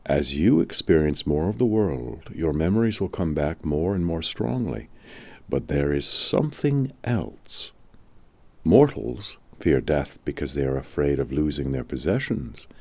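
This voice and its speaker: Guy reading a calm old wizard teacher in an audiobook, super deep voice, measured and patient. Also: gravelly, no room sound, heavily acted. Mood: gentle, instructive. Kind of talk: audiobook storytelling.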